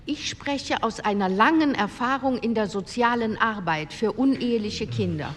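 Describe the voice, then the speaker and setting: Actress as conservative female politician, higher voice, earnest, firm, deliberate speech, radio drama, slight background ambience. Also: fairly bright tone, a little hiss or hum, lightly acted. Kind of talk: re-enacted parliamentary speech.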